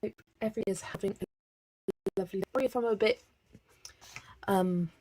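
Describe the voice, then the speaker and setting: Slightly hoarse woman chatting on a livestream. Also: mid-range voice, a little fast, mellow, a little hiss or hum, rough recording. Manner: chatty, friendly, laughs often. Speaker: livestream host. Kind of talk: casual livestream chat while colouring.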